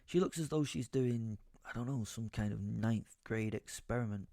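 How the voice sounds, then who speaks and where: A man's voice: fairly low pitch, normal speed, vocal fry, mellow, no echo, clean studio sound. A man playing a brooding, exasperated werewolf who tells his own story as theatrical first-person audio drama narration. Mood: bemused. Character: brooding, foul-mouthed male werewolf, seething with helpless rage.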